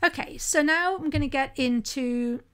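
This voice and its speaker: Chatty woman with a bright voice. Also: high voice, moderate pace. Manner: conversational, often asks "right?", occasional restarts. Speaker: podcast host and sober-living coach. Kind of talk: solo podcast monologue.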